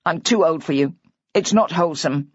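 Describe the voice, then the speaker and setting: A woman's voice: low-pitched, moderate pace, dry recording, muffled recording. A woman reading as a distraught older husband. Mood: defeated. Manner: hysterical, rambling.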